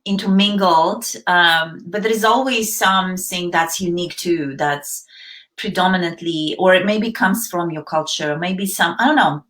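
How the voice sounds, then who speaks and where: A woman's voice: lowish voice, measured pace, a bit bright. A woman hosting a casual live-stream interview, enthusiastic and rambling through her questions with many fillers. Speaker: host.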